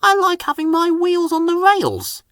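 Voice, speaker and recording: A man voicing a plucky young male steam engine from a children's storybook, quick to get cross and given to excited exclamations. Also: very high voice, no echo, clean studio sound, voice pushed up, exaggerated voice. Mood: grumpy.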